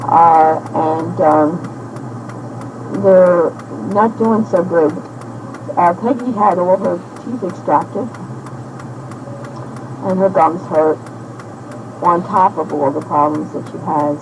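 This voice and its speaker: A woman in her sixties talking slowly and haltingly into a personal audio diary, with many pauses and fillers, heard from an old cassette tape. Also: fairly low pitch, noisy background. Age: 60s. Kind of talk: rambling spoken diary.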